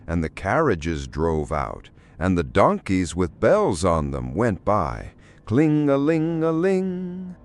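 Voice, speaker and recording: A man narrating a fairy tale audiobook in an expressive, deliberate storytelling manner. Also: low-pitched.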